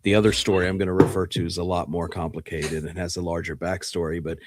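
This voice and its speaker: A man co-hosting a radio show, offering casual commentary in a measured, reflective way. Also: low voice, flat delivery, very noisy.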